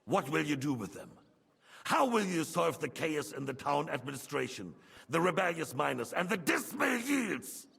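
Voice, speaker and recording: A man playing an imperious, impatient king in a video game, husky and creaky, demanding answers. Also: on the high side, big pitch swings, moderate pace, full character voice. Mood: angry, demanding. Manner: demanding, sharp interrogation. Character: imperious, impatient king berating his officials. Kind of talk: video game drama acting. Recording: long hall reverb.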